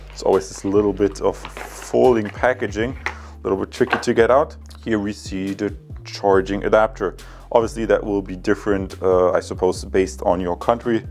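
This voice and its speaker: Man in his thirties, German accent, lowish voice, casual halting reviewer talk, music in the background. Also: darkish tone. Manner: hesitant, halting, addresses viewers as guys. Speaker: product reviewer.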